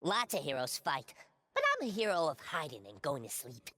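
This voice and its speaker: A woman's voice: low voice, sing-song, normal speed, pushed and bright, heavily acted. A woman playing a cowardly, chatty little alien soldier in a video game, heard with long reverb. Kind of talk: video game character monologue.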